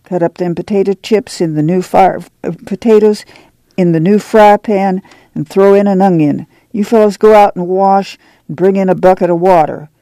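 Woman doing tired country ma, firm and short, kinda gravelly. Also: medium pitch, normal speed, warm tone, dry recording, close to an everyday voice. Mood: firm, worried. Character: anxious poor farm mother, firm and weary.

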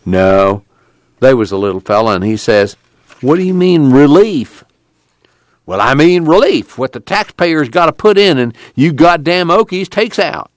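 A man's voice: medium pitch, lively intonation, moderate pace, no echo, clean studio sound, pitched above the performer's own voice, natural voice. A man voicing a tubby, outspoken migrant worker in a storybook, blustery and salty with folksy rural speech. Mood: indignant.

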